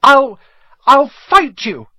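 Male narrator as nervous storybook schoolboy, very high and strained, earnestly standing his ground. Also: big pitch swings, brisk, a bit bright, breathy edge, no echo, full character voice. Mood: nervous defiance. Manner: strained, earnest pleading defiance. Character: timid but stubborn schoolboy bracing himself to resist.

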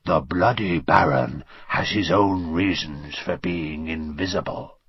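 A man voicing a schoolboy who poses as a menacing ghostly baron in a hoarse, croaking whisper. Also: extremely low pitch, slow pace, dry recording, muffled recording, voice pushed down, exaggerated voice.

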